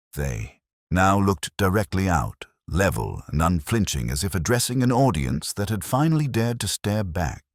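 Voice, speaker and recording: A man with a very deep voice narrates a detective story. His delivery is measured and literary, with varied intonation and a dry, close sound. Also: dry recording. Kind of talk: scripted mystery story narration.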